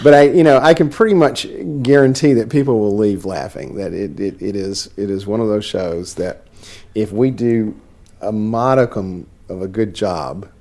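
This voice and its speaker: A theater director with a fairly low voice talks about his show in a relaxed interview. He is chatty, laughs often and pokes fun at himself. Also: normal speed. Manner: chatty, laughing, self-deprecating. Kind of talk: casual interview chat.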